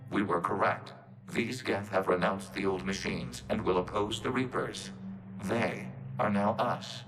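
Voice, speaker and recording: An actor playing a calm, logical robot with a collective mind, speaking formally through synthetic processing in a video game cutscene. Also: male voice, fairly low pitch, music in the background, rough recording. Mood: measured, resolute. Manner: formal, measured, detached, speaks of itself as "we".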